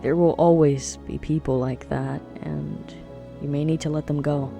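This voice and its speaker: A man's voice: on the high side, steady tone, measured pace, vocal fry, over music. A man playing an earnest, supportive young friend in an audio drama, speaking with emphatic, reassuring phrasing. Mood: firm advice.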